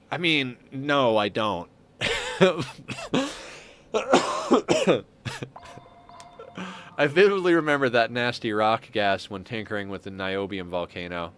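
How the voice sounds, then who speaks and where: A man's voice: mid-range voice, fairly bright tone, clear, resonant tone. A man with a stuffy, congested nose giving casual gameplay commentary as a streamer, muttering to himself and mocking himself with frequent chuckles. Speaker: game streamer.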